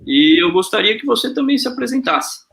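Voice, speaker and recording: A man hosting an informal web panel discussion over a video call, relaxed and lightly joking, with frequent hesitant fillers. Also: very high voice, a little fast, creaky. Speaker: host.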